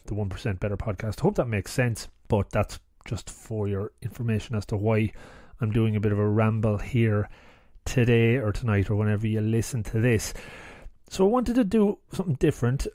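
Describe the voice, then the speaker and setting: Slightly hoarse man, mellow and dry. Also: medium pitch, dry recording. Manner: rambling, chatty, many restarts and asides. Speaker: podcast host. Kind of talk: casual solo podcast intro.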